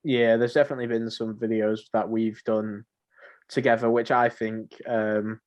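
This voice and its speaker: A man's voice: fairly low pitch, flat delivery, normal speed, darkish tone. A young man chatting casually as a podcast co-host, rambling and often repeating his phrases. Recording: dull lo-fi voice mic.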